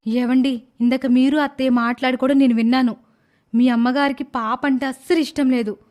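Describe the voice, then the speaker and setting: Woman playing a high-voiced young mother. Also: steady tone, brisk, a little mellow, close to an everyday voice. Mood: worried. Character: caring young mother and wife, easily exasperated. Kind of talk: animated moral story dialogue.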